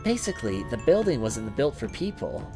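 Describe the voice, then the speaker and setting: A man's voice: medium pitch, big pitch swings, moderate pace. A man voicing a studious young woman from a visual novel who explains things in an assured manner. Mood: assured, explaining. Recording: music behind the voice.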